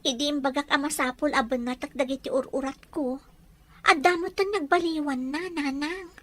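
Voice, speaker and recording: A woman's voice: high-pitched, fairly even intonation, normal speed, a bit bright. A woman playing a polite, inquisitive young housemaid and devoted daughter in an audio drama. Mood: concerned. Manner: polite, questioning.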